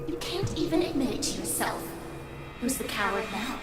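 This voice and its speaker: Actress as a high, echoing, taunting female monster. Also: lively intonation, normal speed, close to an everyday voice. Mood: scornful taunting. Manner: sneering, mocking. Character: taunting female monster mimicking a friend's voice, cruel. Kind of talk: visual novel game voice acting. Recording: echoey, distant, under loud music.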